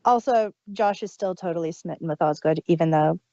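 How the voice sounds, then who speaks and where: A woman's voice: fairly low pitch, a little fast, warm tone, dry recording. A woman casually chatting as a podcast co-host, laughing often and trailing off mid-sentence, on a dull, muffled recording. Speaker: podcast co-host reviewing an audio drama. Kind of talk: casual chat.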